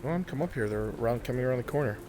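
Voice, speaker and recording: A man's voice: mid-range voice, lively intonation, racing. A man improvising in character in a VR roleplay game, with a commanding, bantering way of talking. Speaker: roleplay player leading a group. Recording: rain and music behind the voice. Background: rain and music.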